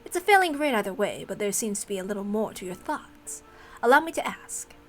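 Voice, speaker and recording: Woman voicing a softly condescending, suave demon butler. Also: medium pitch, sing-song, soft background music. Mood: cool amusement. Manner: formal, measured, softly condescending. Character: suave, polite male demon butler, cruelly amused.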